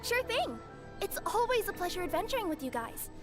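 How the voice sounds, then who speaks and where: Very high, bubbly cat girl. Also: sing-song, a little fast. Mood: delighted. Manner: bubbly, cute, chatty. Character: perky, friendly young female cat-creature courier. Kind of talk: scripted anime-style video game dialogue. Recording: game music behind the voice.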